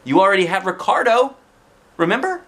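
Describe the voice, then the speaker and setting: Casting: bossy whiny schoolgirl, shrill and indignant, put on by a man. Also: very animated, a little fast, fairly bright tone, full character voice.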